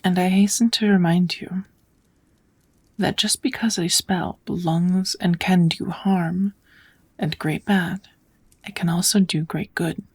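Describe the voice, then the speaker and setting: A woman voicing a kind, patient witch in a soft, often whispered ASMR roleplay, teaching magic in a gentle, measured, slightly formal way. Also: fairly low pitch, steady tone, breathy edge. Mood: calm, patient.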